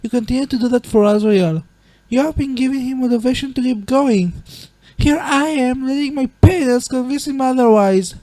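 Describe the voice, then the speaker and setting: Mellow, motherly monster queen, acted by a woman. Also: sits high, moderate pace, no room sound, close to an everyday voice, low-quality recording. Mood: anguished, self-blaming. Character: gentle, proper motherly monster queen, remorseful. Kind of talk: dramatic fan comic dub acting.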